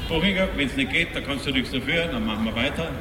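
A man's voice: medium pitch, expressive, fast, a crowd in the background. A man with a Bavarian accent making rambling, colloquial, self-correcting live announcements as an outdoor event host. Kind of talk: improvised live stage announcing. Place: outdoors in a cold city space. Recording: echoey outdoor PA, distant, noisy.

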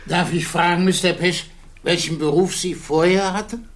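An actor playing a defence attorney in a radio play, putting pointed, deliberate questions in cross-examination. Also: male voice, high-pitched, steady tone, lightly acted. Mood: probing.

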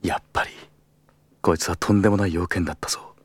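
Earnest salaryman in an audio drama, lowish voice, proper and principled, very dry sound. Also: steady tone, fast talker, husky, dry recording. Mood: wary, startled. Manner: formal and deferential aloud, blunt in inner monologue. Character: earnest, principled male travel agency employee. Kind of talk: Japanese audio drama acting.

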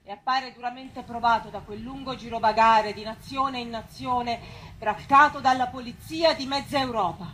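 A woman's voice: on the high side, fairly even intonation, normal speed, few pauses, fairly bright tone, slight background noise, natural voice. A woman delivering scripted theatrical narration of historical events.

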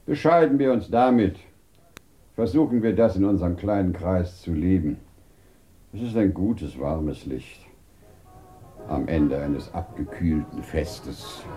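An actor in a German radio play playing a reflective, solemn man who speaks in measured, philosophical phrases. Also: low-pitched, expressive, dark tone, faint music behind. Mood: earnest, wistful. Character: reflective man holding to trust in a shattered world.

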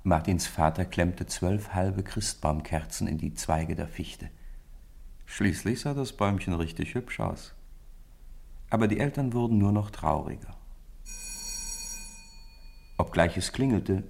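A man narrating a 1950s radio play in a calm, measured storybook manner. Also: low voice, gravelly, slightly rough. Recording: 1950s radio studio recording.

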